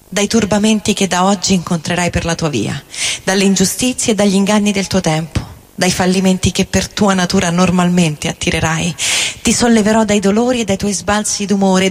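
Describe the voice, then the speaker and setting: A woman giving a measured, dramatic literary reading in the style of experimental theatre. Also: medium pitch. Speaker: reader, performer. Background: guitar music and loops.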